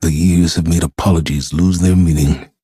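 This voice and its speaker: A man voicing a weary, remorseful father in a video game, halting and heavy, with a raspy voice. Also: low voice, steady tone, warm tone, dry recording, studio quality. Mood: remorseful, tender. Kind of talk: dramatic game voice acting.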